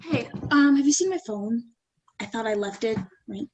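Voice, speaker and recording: A woman's voice: a little high, animated, normal speed, natural voice. A woman playing a wary, curt young café worker in a staged play reading. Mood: distracted, frustrated. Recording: dull, noisy video-call audio with clicks.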